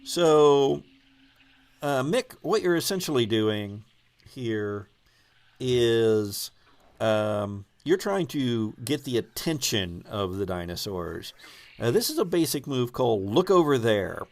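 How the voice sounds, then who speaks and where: A man acting as game master and host, improvising narration and explaining rules in a halting, thinking-aloud way. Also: medium pitch, big pitch swings.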